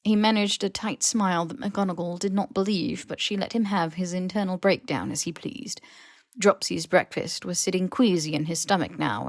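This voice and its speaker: A woman's voice: fairly low pitch, vocal fry, no echo, clean studio sound. A woman reading scripted fiction as an audiobook narrator.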